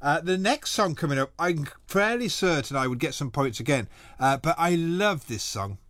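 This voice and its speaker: A man hosts a radio music show from a small studio, talking loosely and cheerfully between records. His voice sits a little high for a man and is picked up close and dry on the studio microphone. He rambles, corrects himself and laughs at his own remarks. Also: lively intonation, moderate pace, fairly bright tone, dry recording. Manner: chatty, rambling, self-deprecating, laughs often. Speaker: radio presenter. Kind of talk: casual radio chat between songs.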